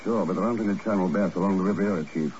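An actor playing a shrewd secret agent with a dry, understated manner, in 1950s radio drama style. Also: male voice, deep voice, very fast, a little mellow, close to an everyday voice. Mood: wry, skeptical. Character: shrewd, wry secret agent following his hunches. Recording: muffled, noisy old radio recording.

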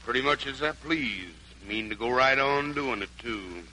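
A man's voice: mid-range voice, normal speed, bright, forceful tone, a little hiss or hum, rough recording. A man playing a contrary, stubborn backwoods fiddler with a folksy drawl, in 1940s radio comedy style. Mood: dry, contrary. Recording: old 1940s radio broadcast with music.

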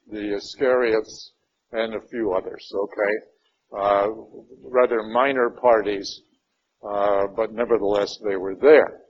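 A man leading a Bible study class in a church meeting room, first praying and then teaching in an unhurried, conversational way, a little raspy from a cold. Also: mid-range voice, dull audio, a little hiss or hum. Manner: unhurried, conversational, with frequent "uh" and "all right". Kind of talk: opening prayer then informal Bible lesson.

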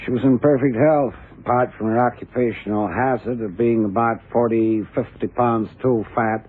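An actor playing a hesitant, matter-of-fact doctor in an old radio drama, explaining things conversationally. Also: male voice, mid-range voice, steady tone, moderate pace, husky, close to an everyday voice. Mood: earnest, hesitant. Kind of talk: 1970s radio drama acting. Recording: narrow, faintly hissy old radio recording.

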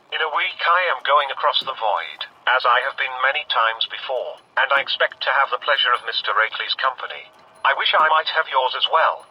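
A dull, electronically filtered voice of a brain living in a machine for a radio drama. It is rough-edged and husky, yet serene, measured and courteously persuasive. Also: male voice, mid-range voice. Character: serene disembodied human brain speaking through a machine.

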